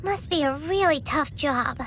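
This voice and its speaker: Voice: sits high, bright, forceful tone, slight background noise, full character voice. A chatty tiny sprite. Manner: chattering, quick to gasp and comment. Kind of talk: game cutscene voice acting.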